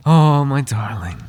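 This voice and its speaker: A man's voice: mid-range voice, a little mellow, slightly rough, heavily acted. A man playing a devoted, flirtatious butler in an intimate ASMR roleplay, speaking in formal, genteel phrases with playful teasing. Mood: tender, flirtatious. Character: devoted, flirtatious, gossip-loving male butler to a noble.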